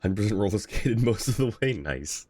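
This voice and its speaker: Low-voiced man streaming a game. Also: lively intonation, normal speed, darkish tone, no echo. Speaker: game livestreamer. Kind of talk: casual stream chat and reactions.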